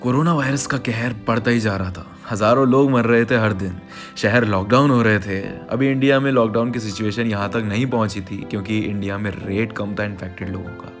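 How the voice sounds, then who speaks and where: A man's voice: on the low side, fairly quick. An Indian man telling an emotional scripted story in an earnest, conversational way. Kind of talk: dramatic, emotional scripted narration of a sad story. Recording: voice over background music. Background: music.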